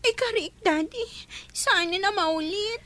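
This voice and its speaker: A woman's voice: very high voice, a little slow, bright, forceful tone, exaggerated voice. A woman acting a spoiled, quarrelsome, whiny schoolgirl in an Ilocano radio drama. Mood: pleading, whiny.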